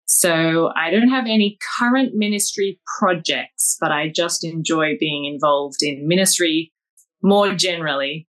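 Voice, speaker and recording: A woman with an Australian accent, a guest author giving calm, measured, personal answers in an interview. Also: sits low, low-quality recording.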